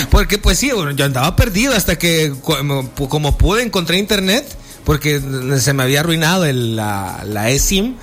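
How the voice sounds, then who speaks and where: A man's voice: on the high side, lively intonation, moderate pace, fairly bright tone, soft background music. A sports commentator cohosting a radio chat, a man who laughs often and jokes along.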